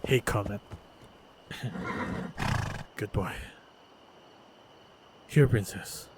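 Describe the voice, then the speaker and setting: A man playing a calm, caring monster hunter in a fantasy audio roleplay, speaking in a measured, gentle way. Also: on the low side, noisy background. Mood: protective, reassuring. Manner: measured, deliberate, gentle storytelling delivery.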